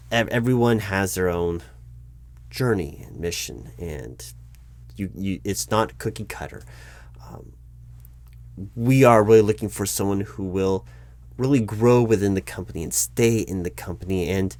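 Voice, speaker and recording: A man's voice: low-pitched, a little mellow, no room sound. A man playing a polite, awkwardly tactful corporate hiring manager in a one-sided interview roleplay, speaking aloud in a hesitant, stammering, diplomatic way. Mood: encouraging, diplomatic. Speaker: recruiting manager conducting a job interview.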